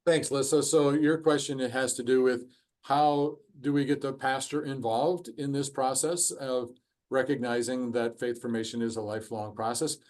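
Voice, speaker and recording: A man's voice: mid-range voice, steady tone, normal speed, a little mellow. A man moderating an online course discussion, hesitant, restating what others say with many fillers. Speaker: course facilitator.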